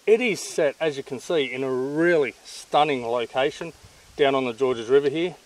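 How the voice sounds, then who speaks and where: A man's voice: mid-range voice, moderate pace, low-quality recording. A middle-aged Australian man hosting a casual outdoor vlog interview in an informal, matey way. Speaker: channel host interviewing. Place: outdoors at a garden table in bushland.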